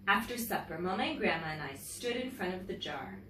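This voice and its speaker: A woman reads a children's story with very lively, swooping intonation at a medium pitch. She sounds like a teacher, shaping phrases expressively and speaking directly to young listeners. The recording is roomy and a little distant from the mic. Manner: expressive, pausing to comment and ask young listeners questions. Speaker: teacher and story-time host. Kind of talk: read-aloud picture book storytelling for children. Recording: roomy, distant mic, faint background noise.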